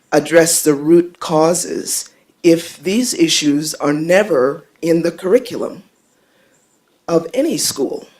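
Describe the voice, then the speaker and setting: A man, an educator, making an earnest, measured comment from the floor of a conference hall. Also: fairly high pitch, darkish tone. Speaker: audience member, educator.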